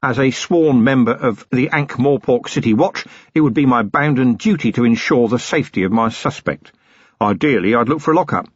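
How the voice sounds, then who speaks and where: Man doing a wry, measured city policeman. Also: mid-range voice, slightly rough, no room sound, pitched above the performer's own voice, close to an everyday voice. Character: dry, wry middle-aged male city policeman. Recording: dry, slightly dull recording.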